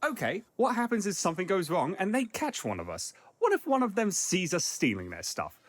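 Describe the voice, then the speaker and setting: Male actor as anxious young guy, principled, animated pitch, close dry sound, comedy audio drama. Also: fairly quick, dry recording, exaggerated voice. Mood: objecting.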